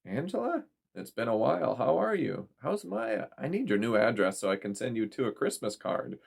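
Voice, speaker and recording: A man's voice: medium pitch, very animated, moderate pace, gravelly, breathy edge, voice pushed up, full character voice. A man voicing a chatty, fussing middle-aged mother full of questions in a story reading.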